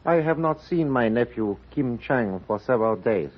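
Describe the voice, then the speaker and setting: Husky, dignified, formal older uncle acted by a man. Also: medium pitch, lively intonation, close to an everyday voice. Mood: grave, courteous. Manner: formal, careful, deliberate, without contractions. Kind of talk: 1950s radio drama acting. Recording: muffled vintage radio recording.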